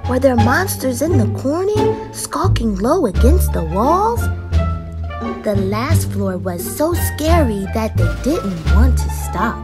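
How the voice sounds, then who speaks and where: A young woman hosting a children's read-aloud, narrating in an expressive, deliberate storyteller style. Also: a little high, over loud music.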